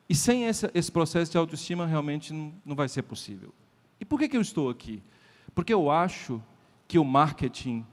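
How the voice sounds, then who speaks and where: A man's voice: a little high, darkish tone. A man with a Brazilian accent giving a motivational lecture at a sales event, emphatic, repeating key lines and putting rhetorical questions to the audience. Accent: Brazilian Portuguese. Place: event hall before a live audience. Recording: reverberant hall sound.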